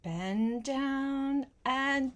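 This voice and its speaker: An older woman, a grandmother, reads a picture book aloud to a young child, gently adding simple asides and questions. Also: sits high, very slow. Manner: gentle, simple child-directed talk with questions and short phrases.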